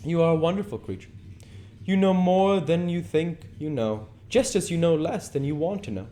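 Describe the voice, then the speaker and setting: Languid aristocratic gentleman, put on by a male reader, mellow tone. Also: on the high side, lively intonation, normal speed, pitched above the performer's own voice, natural voice. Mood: urbane, coaxing. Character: languid, persuasive aristocratic gentleman preaching youth and beauty. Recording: noticeable background noise.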